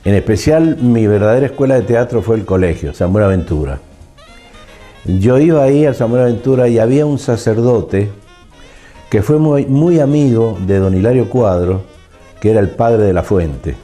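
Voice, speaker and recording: Deep-voiced older Argentine man, mellow. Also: lively intonation, faint music behind. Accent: Argentine, from Mendoza. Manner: halting, repeats words as he searches. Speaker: veteran radio drama actor being interviewed. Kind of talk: reminiscing interview talk.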